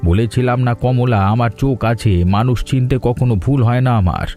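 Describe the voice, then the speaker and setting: A man's voice: mid-range voice, normal speed, dark tone, soft background music, lightly acted. A man playing a chatty, boastful village busybody in a cartoon. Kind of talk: cartoon dialogue dub.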